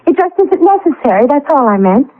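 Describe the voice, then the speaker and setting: An actress playing a frightened, reluctant young wife in a radio drama, hesitant and stammering. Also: female voice, high voice, sing-song, fairly quick. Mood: flustered, apologetic. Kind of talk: old-time radio drama acting. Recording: thin, narrow-band vintage radio recording.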